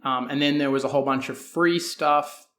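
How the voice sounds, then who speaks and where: A man's voice: mid-range voice, fairly even intonation, moderate pace, a bit bright. A man with an Australian accent answering as a guest in a conversational interview, hedging and thinking aloud as he goes. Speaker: guest, fitness coach.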